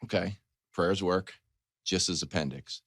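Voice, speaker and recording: A man in his forties, a sports talk host, telling a comedic story in a casual, animated way with choppy asides and acted-out quotes. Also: low-pitched, unhurried, no room sound, clean studio sound. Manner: animated, acts out quotes in dialogue.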